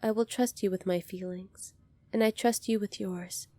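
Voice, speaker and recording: A woman playing a gentle, earnest young alien princess in an ASMR roleplay, speaking softly and politely to the listener. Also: medium pitch, fairly even intonation, moderate pace, dry recording, natural voice. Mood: warm, reassuring. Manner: soft-spoken, polite, earnest, apologetic.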